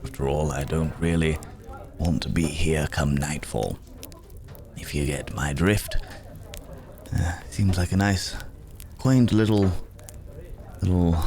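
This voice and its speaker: Very deep, slow, weary werewolf gentleman, acted by a man. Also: creaky, exaggerated voice. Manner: halting, musing, gentlemanly, with hums and murmurs. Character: weary, courteous male werewolf traveler, wry and brooding. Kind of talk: intimate ASMR fantasy roleplay acting.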